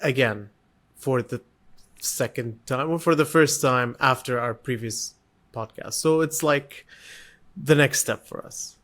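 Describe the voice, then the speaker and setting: A man's voice: mid-range voice, measured pace, fairly bright tone. A young man with a mid-range voice co-hosting a casual gaming podcast. He talks in a laid-back, joking way and often stops and restarts. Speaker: podcast co-host. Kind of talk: casual chat.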